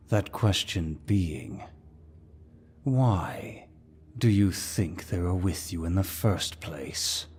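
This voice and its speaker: A man playing a suave, dominant demon lord who speaks in a deep, husky voice with mocking courtesy, as in an ASMR villain roleplay. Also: heavily acted. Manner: slow, mocking, mock-courteous, theatrical.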